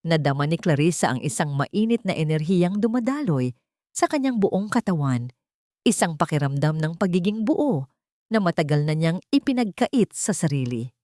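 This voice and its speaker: A Filipino woman narrates an audio drama in a fairly low voice. Her delivery is measured and solemn, with a sentimental storyteller's phrasing. The recording is dry and close, with little room sound. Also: big pitch swings, mellow, dry recording.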